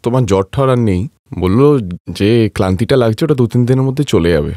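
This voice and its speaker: Man voicing a low, brisk, caring husband. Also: expressive, warm tone, no echo, clean studio sound. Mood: concerned. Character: practical, caring husband and son of a household. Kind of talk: Bengali moral-story cartoon voice acting.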